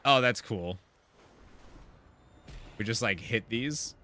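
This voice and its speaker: A man's voice: mid-range voice, slow, pushed and bright, slight background noise. A man giving casual, rambling and enthusiastic gameplay commentary as he chats with his viewers. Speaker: video game streamer.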